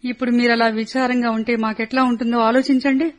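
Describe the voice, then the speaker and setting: A woman voices a middle-aged soldier's wife in a radio play, high-voiced, who is constantly afraid for her husband. She speaks in an earnest, confiding way. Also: little pitch movement, brisk, natural voice. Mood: pleading. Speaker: narrator and lead.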